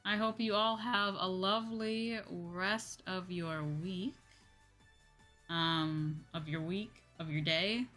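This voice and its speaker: A woman's voice: lowish voice, fairly even intonation, slow pace, a bit bright, faint music behind. A slightly sleepy woman hosting a livestream, chatting casually and informally with her viewers. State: a little sleepy. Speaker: livestream host, VTuber.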